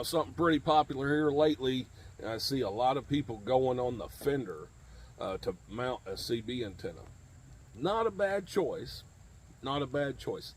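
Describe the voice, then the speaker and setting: A man in his forties with a husky, mid-pitched voice explains things as the host of a hands-on tips video. He is informal and plain-spoken, repeating his key points, and talks to a camera a step or two away outdoors in a driveway. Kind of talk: informal instructional demonstration to camera. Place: outdoors in a home driveway beside a pickup truck.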